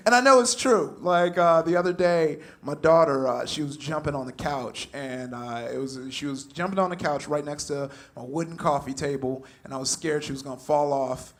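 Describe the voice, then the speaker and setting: A young man from California doing a stand-up comedy set on stage, animated, laughing through his punchlines and acting out shouted quotes. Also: on the high side, moderate pace. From Compton, California. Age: young adult. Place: comedy club stage with a live audience.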